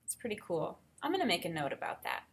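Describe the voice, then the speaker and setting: A woman teacher reading a storybook aloud and pausing to explain it simply to young learners. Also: lowish voice, big pitch swings, gravelly. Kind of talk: read-aloud lesson with think-aloud commentary.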